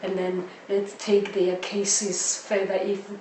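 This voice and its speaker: South african woman giving interview answers, kinda flat pitch, stops and restarts, roomy far mic. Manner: halting, repeats words and phrases. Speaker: interviewee, audio drama creator. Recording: roomy echo, distant mic, faint noise.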